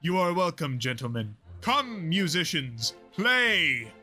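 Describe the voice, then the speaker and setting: A man's voice: fairly high pitch, very animated, takes it slowly, over music. A man playing a jovial, nostalgic older lord, hearty and declamatory, in a Shakespearean audio play.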